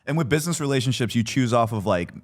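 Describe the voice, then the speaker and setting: A man's voice: medium pitch, animated, fast. A man chatting casually and informally as a podcast guest, restarting his phrases as he goes. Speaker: podcast guest, business partner. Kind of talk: casual chat.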